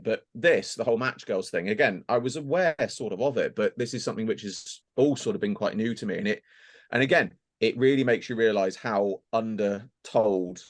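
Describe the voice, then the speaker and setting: Man, fairly low voice, casual podcast talk, hesitant, rambling, thinking out loud. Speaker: voice actor, podcast guest.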